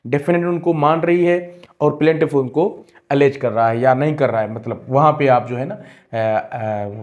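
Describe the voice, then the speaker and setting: A man teaching a law exam-prep lesson, explaining step by step and repeating key terms, slowing into careful English when he reads legal text aloud. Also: mid-range voice, darkish tone. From Pakistan. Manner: switches into slow, deliberate English when reading legal text. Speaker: law lecturer.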